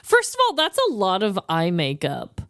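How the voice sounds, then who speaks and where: Animated woman podcaster with a clear voice. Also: mid-range voice, normal speed, dry recording, clean studio sound. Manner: animated, chatty, slangy. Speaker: podcast co-host. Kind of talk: casual comedic chat.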